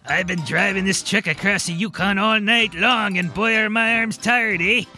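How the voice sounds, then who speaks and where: High, piercing, folksy trucker. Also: male voice, normal speed, pushed and bright, soft background music, heavily acted. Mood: weary, folksy. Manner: folksy, exclamatory, tags phrases with "eh". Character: weary Canadian trucker, cheerful and corny, in a coffee ad. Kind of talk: parody vintage radio commercial.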